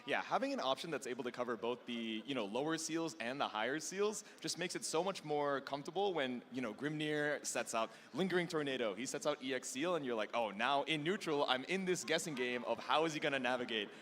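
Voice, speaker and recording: A man's voice: medium pitch, expressive, fairly quick, few pauses, a bit bright. A man hosting a live fighting game tournament broadcast as commentator, upbeat and slangy as he hypes up the crowd. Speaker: esports tournament commentator and host. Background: background music.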